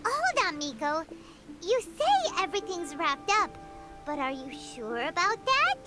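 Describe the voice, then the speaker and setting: A woman's voice: very animated, a little slow, over loud music, full character voice. A woman voicing a tiny, perky, chatty fairy companion in a video game, who talks about herself in the third person. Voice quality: very high, squeaky, bouncy.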